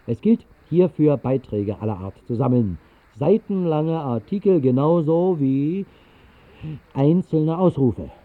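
An actor playing a tipsy, whimsical night wanderer in a 1960s radio drama monologue, speaking in a halting, theatrical, mock-pompous way. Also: male voice, on the high side, animated. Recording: muffled narrow-band 1960s radio recording.

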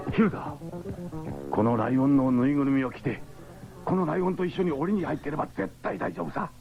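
An actor playing an earnest, emotive young East German escapee in a 1970s radio drama. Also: male voice, a little high, a little fast, vocal fry, faint music behind. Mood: reassuring. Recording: dull noisy 1970s radio recording.

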